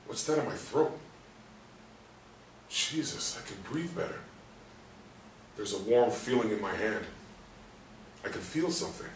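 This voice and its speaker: An actor in a play reading voicing a frightened, confused paralysed man's inner thoughts in halting, anguished bursts. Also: lowish voice, fairly bright tone. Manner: halting, anguished self-talk in short bursts. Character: frightened, confused man trapped in his paralysed body, sweary. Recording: roomy, distant mic, faint noise.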